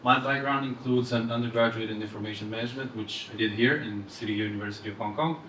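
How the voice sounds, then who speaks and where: A man's voice: on the low side, quick pace, pushed and bright. A young man with an Eastern European accent giving a live product presentation to a room. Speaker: fintech presenter. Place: indoor event room, speaking to audience. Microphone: handheld microphone heard from far off. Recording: roomy, distant event-hall sound with noise.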